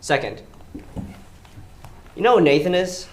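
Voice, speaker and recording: A man's voice: high-pitched, animated, unhurried, reverberant. A man in a stage play acting as a persuasive criminal schemer, coaxing and commanding in a pointed way. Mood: quietly commanding. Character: smooth, persuasive male criminal schemer recruiting a reluctant worker. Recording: noisy live stage recording.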